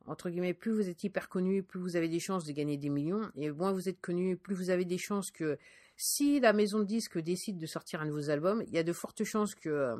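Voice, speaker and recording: A woman's voice: lowish voice, a little fast, a little mellow, no echo, studio quality. A woman from France, an independent musician, talking casually in a monologue full of fillers and restarts. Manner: hesitant, many fillers and restarts.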